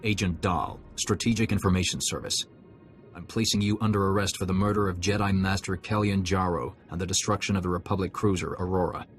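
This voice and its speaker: A man's voice: sits low, brisk. A man playing a formal, officious corporate director in a video game, with a polished manner. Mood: stern. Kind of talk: video game cutscene acting. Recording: music behind the voice.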